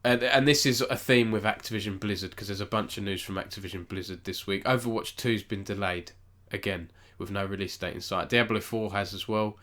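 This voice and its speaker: Casual male stream host, slightly low, nasal with a cold, chatty and rambling. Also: fairly even intonation, a little fast, few pauses, a bit bright. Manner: rambling, self-correcting, chats with viewers. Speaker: esports news host. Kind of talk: casual live-stream news roundup.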